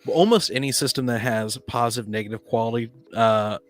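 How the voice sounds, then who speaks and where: A man in a casual podcast discussion, explaining his thinking in a halting, explanatory way. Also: mid-range voice, faint music behind. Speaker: podcast speaker on tabletop gaming.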